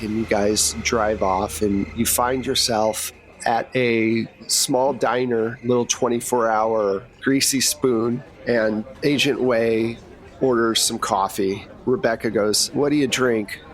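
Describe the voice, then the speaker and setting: A man's voice: medium pitch, steady tone, slow pace, rough recording. Casual man running a tabletop game. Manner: casual, conversational. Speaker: game master. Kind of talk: narrating a tabletop role-playing game.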